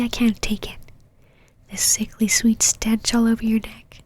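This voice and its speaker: A woman playing a possessive, teasing, domineering female vampire knight in an ASMR roleplay, mostly in a breathy whisper with a commanding manner. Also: fairly high pitch, darkish tone, dry recording. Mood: jealous, indignant.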